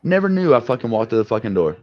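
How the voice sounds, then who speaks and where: A man's voice: medium pitch, big pitch swings, fast, dry recording. A man swearing his way through a blunt story on a casual live stream. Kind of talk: profane storytelling. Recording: dull lo-fi sound.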